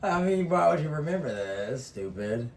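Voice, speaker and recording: A man's voice: fairly high pitch, sing-song, moderate pace. A young man from Michigan co-hosting a casual vlog chat, laid-back and blunt, with slang and cursing. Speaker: vlog co-host.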